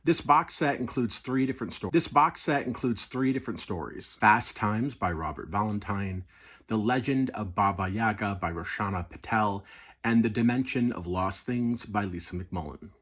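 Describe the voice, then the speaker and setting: Middle-aged male reviewer, low husky register, enthusiastic and conversational. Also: moderate pace, a bit bright. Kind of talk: casual video review.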